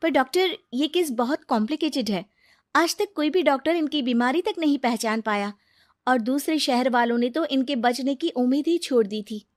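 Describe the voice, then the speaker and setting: A woman's voice: sits high, lively intonation, moderate pace, voice pushed up. A woman voicing a dutiful, deferential female hospital nurse in a cartoon story. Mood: worried.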